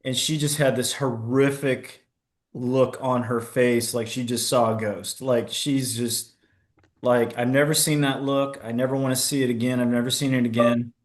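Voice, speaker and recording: A man's voice: mid-range voice, steady tone, normal speed. Candid middle-aged man telling his story. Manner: candid, self-deprecating, with stammered restarts. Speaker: podcast guest, entrepreneur. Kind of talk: casual storytelling interview.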